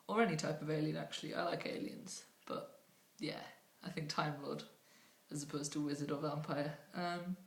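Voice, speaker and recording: A woman's voice: lowish voice, gravelly. A woman from Northumberland with a Northumbrian accent, casually answering questions in a hesitant, rambling way. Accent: Northumbrian English. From Northumberland, England. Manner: casual and chatty, with a hesitant, rambling flow and many ums. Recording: roomy room echo.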